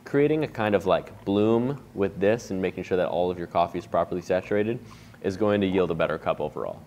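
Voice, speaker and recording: Low-voiced man explaining a coffee brew. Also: a little fast, dull audio. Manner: relaxed, chatty, explaining step by step with fillers. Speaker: coffee shop owner demonstrating a brew recipe. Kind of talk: casual how-to demonstration. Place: bright indoor room with a table.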